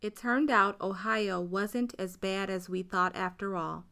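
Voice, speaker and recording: A woman's voice: medium pitch, fairly even intonation, a little slow, creaky, a bit bright, faint music behind. A woman playing a storyteller fondly looking back on childhood in a radio play. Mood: nostalgic. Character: grown woman fondly recalling her childhood. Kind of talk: scripted storytelling narration.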